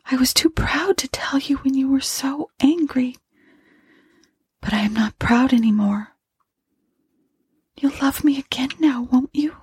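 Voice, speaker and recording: A woman voicing a dead wife's ghost in a gothic story, weary, tender and pleading, with a faint, hollow, breathy voice. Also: high-pitched, measured pace, pauses often, a little mellow, dry recording, clean studio sound, voice pushed up, heavily acted.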